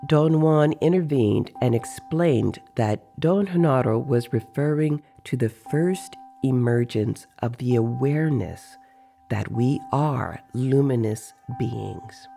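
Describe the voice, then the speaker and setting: Slow, creaky male story narrator. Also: mid-range voice, mellow, faint music behind. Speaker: narrator reading book excerpts. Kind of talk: scripted dramatized narration.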